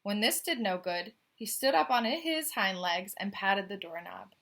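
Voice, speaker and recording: Woman doing storytime for little kids, really bright voice, expressive reading with chatty asides. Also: mid-range voice, a little slow, vocal fry. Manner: animated, questioning asides to young listeners. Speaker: storyteller reading a picture book aloud. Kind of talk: expressive children's read-aloud with chatty asides.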